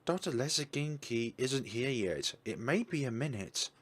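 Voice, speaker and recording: A man voicing a quiet, expressionless young woman reporter who speaks softly. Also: medium pitch, normal speed, pitched above the performer's own voice, natural voice. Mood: flat. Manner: soft-spoken, flat.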